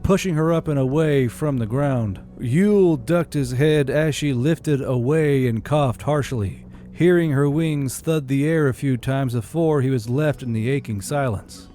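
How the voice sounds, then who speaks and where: Mellow male audiobook narrator. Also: mid-range voice, measured pace, hardly any pauses, soft background music. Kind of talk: scripted audiobook narration of fantasy war fiction.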